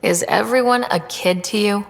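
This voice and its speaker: A woman's voice: mid-range voice, moderate pace, vocal fry, natural voice. A woman playing a friendly, frank young spaceship leader in a video game, with a direct, easygoing way of talking. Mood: curious. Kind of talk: video game dialogue acting. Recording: reverberant room with music behind.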